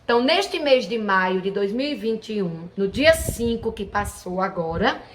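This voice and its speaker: A woman's voice: medium pitch, animated, moderate pace. A woman hosting a history channel, explaining in a chatty, informal way with many fillers and restarts. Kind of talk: casual educational talk to camera.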